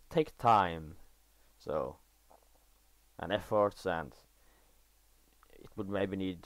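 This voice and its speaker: A man's voice: low voice, smooth, dry recording. Teen boy with a Norwegian accent. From Norway. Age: teenager. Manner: halting, self-correcting, enthusiastic about game details. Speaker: gaming video creator. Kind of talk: casual gameplay commentary. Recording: dry voice-over recorded after gameplay.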